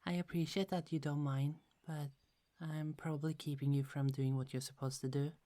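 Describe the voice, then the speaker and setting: Woman acting gentle young goddess, soft half-whisper, low mellow voice, slow, giggly. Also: steady tone. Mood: friendly. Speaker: ASMR roleplay actor. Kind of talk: ASMR roleplay.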